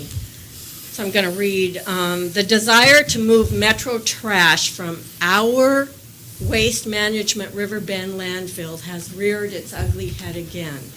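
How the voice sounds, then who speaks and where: A woman's voice: lowish voice, bright, forceful tone. Critical woman reading public comment. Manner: measured and sharply critical. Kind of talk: public comment, partly read aloud. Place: county meeting room. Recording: noisy public meeting recording.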